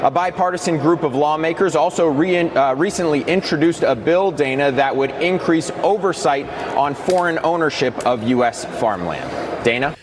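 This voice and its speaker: A man reporting as a television news correspondent in a brisk, scripted field report. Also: a little high, expressive, background noise.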